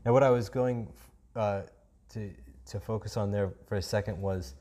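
A man's voice: on the low side, fairly even intonation, moderate pace, mellow. Enthusiastic New Zealand man hosting. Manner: enthusiastic, rambling with many fillers. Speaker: podcast host. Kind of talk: casual chat.

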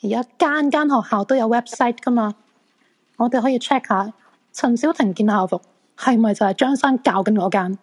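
An actress playing an eager, self-assured crystal fortune-teller thrilled by ghosts, in a Hong Kong Cantonese radio drama. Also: female voice, on the high side, moderate pace, lightly acted. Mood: excited, eager. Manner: eager, friendly, animated.

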